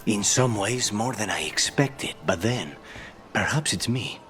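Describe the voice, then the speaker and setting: An actor playing a suave, dry-witted male assassin in a video game, speaking in a measured, understated way. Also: on the low side, lively intonation, a bit bright. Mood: calm, reflective. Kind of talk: video game dramatic voice acting.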